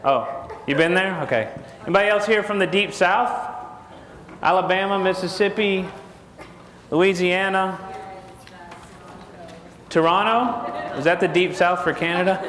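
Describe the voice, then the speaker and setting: A middle-aged Texan man speaks to a conference audience in a folksy, joking way and keeps throwing questions at them. His voice sits a bit high for a man. A lapel mic picks him up in a large hall with a long, echoing reverb. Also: measured pace, long pauses, fairly bright tone. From Texas. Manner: folksy, jokey, asks the crowd questions. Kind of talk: joking seminar talk to an audience.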